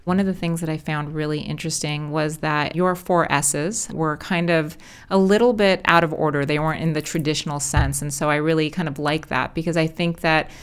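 A woman's voice: low-pitched, fairly even intonation, fairly quick, few pauses, clear, resonant tone. A woman from Los Angeles hosting an interview in a chatty way, with many fillers. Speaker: podcast host. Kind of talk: casual interview.

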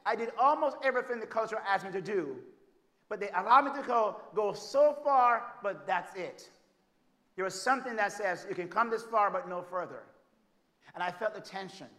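A man with a Jamaican accent preaching a sermon, moving between loud, emphatic declarations and soft, hushed storytelling. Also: high-pitched, moderate pace. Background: congregation calling out amens.

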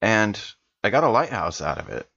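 Male tabletop player, low register, measured casual roleplay, dull lo-fi sound. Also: huge pitch swings, creaky, bright, forceful tone, slightly rough.

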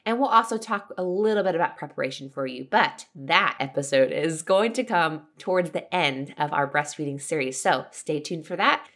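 Woman host, fairly low voice, upbeat intro, laughs easily. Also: animated, normal speed, hardly any pauses, a bit bright. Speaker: podcast host. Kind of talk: show intro.

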